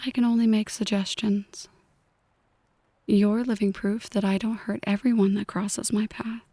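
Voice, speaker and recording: A woman playing a possessive, brooding mafia boss in an ASMR roleplay, speaking in a breathy whisper, deliberately and intimately to one listener. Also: medium pitch, level tone, dark tone, no room sound. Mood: quietly menacing.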